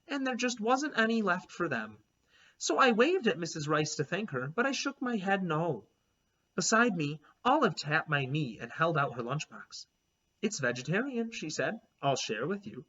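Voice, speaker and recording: A man reads a children's chapter book aloud, his bright voice rising and falling with animated expression. He tells the story like a teacher to a class. The recording is dull and lo-fi. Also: high-pitched, moderate pace.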